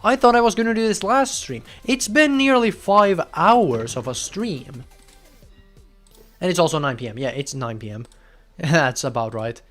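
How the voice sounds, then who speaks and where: Excitable young man streaming. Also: on the high side, measured pace, faint music behind. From Finland. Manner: chatty, bursts into loud excited outbursts. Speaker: game streamer. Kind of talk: casual live gameplay commentary.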